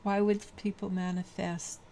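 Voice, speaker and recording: Woman tarot reader, low mellow voice, flat delivery, halting. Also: a little hiss or hum. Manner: halting, many restarts and fillers. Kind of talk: daily tarot reading talk.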